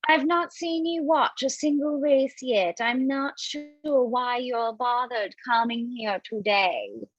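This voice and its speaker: A woman's voice: on the high side, slow, muffled recording. A woman reading a teasing, flirtatious woman at the races, coy in manner.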